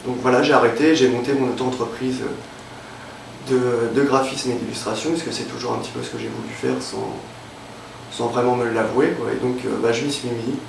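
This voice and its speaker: A man's voice: medium pitch, steady tone, fairly quick, vocal fry, recorded from a distance, noisy background. A young man from Normandy in France, talking casually in an interview, hesitant and full of fillers and restarts. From Évreux, Normandy, France. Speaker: interviewee, freelance illustrator. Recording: roomy, off-mic with faint ambience.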